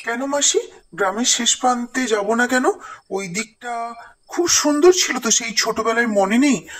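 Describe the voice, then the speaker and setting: A man's voice: very high-pitched, moderate pace, fairly bright tone. A man playing an eager, curious young college-age nephew in a cartoon.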